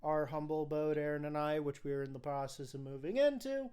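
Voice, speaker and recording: A man with a fairly high, clean and resonant voice hosts a film podcast. He chats casually, with enthusiasm and firm opinions. The room at home sounds echoey. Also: a little fast, dark tone, clean tone. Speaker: podcast host.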